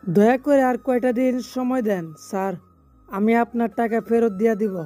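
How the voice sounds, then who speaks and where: A man's voice: very high-pitched, fairly even intonation, moderate pace, mellow. A man playing a desperate, submissive middle-aged father in a melodramatic audio story, pleading and stammering. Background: background music.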